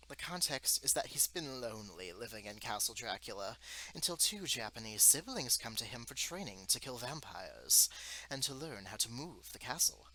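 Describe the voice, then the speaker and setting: A man's voice: medium pitch, bright, forceful tone, poor audio. A man giving a mock-dramatic reading of an article, with a theatrical, melodramatic delivery of exaggerated outrage. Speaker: reader.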